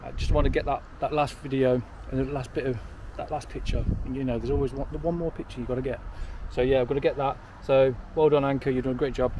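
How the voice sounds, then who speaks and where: A man's voice: medium pitch, fairly even intonation, fast talker, few pauses, noisy background. A man in his forties vlogging to a handheld camera outdoors, rambling and correcting himself in an upbeat way. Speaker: drone vlogger. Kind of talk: casual vlog to camera. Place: outdoors by a railway station, windy. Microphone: handheld camera at arm's length.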